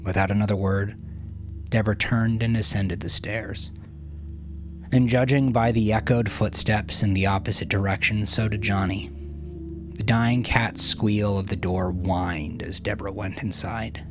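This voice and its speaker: A man narrating dramatic fiction from a script in a measured, solemn manner, with hushed phrase endings. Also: lowish voice, steady tone. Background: music.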